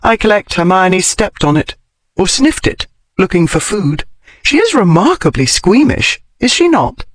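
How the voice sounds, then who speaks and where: A man's voice: sits high, sing-song, measured pace, no echo, clean studio sound, pitched above the performer's own voice, exaggerated voice. A man voicing a bold, curious scholarly woman in an audiobook, with precise, bookish and insistent phrasing. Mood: keen, chatty. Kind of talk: audiobook character dialogue.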